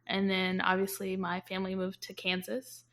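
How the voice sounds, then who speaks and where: A woman's voice: medium pitch, monotone, normal speed, a bit bright. A woman from Brazil co-hosting a book podcast, talking casually and hesitantly with sighs. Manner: hesitant, self-deprecating with sighs and laughs. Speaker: podcast co-host. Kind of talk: casual chat.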